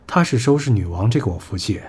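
An actor in a Chinese audio drama playing a sharp-tongued entertainment boss, blunt, scolding and colloquial. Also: male voice, on the low side, lively intonation, fast talker, breathy edge. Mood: exasperated.

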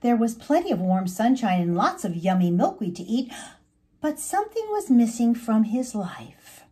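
A woman reading a children's story aloud as its author, expressive and emphatic, drawing out words. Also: fairly high pitch, slightly rough. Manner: animated, emphatic, drawn-out words.

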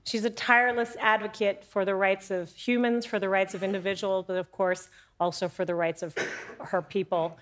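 A woman's voice: mid-range voice, level tone, normal speed, a bit bright. A woman in her forties introducing a guest on a conference stage in a formal presenter's manner. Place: large conference stage before an audience.

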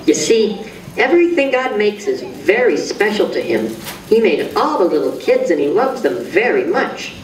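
An actor playing a gentle, reassuring grown-up cartoon friend who explains things simply to a child in a musical story. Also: mid-range voice, big pitch swings, moderate pace, a little hiss or hum, close to an everyday voice. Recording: roomy distant playback with music behind.

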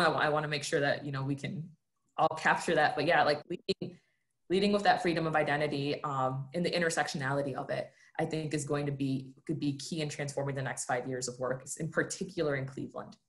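Woman, low voice, video-call panelist, earnest, reflective, talks with her hands. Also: steady tone, a little fast, a bit bright. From United States. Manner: earnest, reflective, often tags "right?".

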